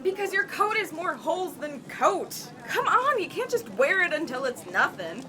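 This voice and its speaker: A woman's voice: very high voice, big pitch swings, moderate pace, noisy background. A woman playing an exasperated, bossy young leader of travelers in an audio drama. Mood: cheerful, bossy. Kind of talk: scripted audio drama acting.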